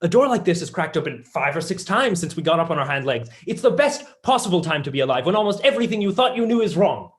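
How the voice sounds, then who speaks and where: A young man playing a passionate, blunt, excitable mathematician in a staged play reading. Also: sits high, fast talker, hardly any pauses. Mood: elated. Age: young adult.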